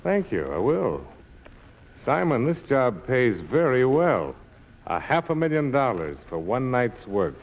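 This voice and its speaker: An actor playing a tough, sardonic major in 1940s radio drama style, wisecracking and defiant. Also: male voice, mid-range voice, very animated, a little slow, poor audio. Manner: wisecracking, hard-boiled.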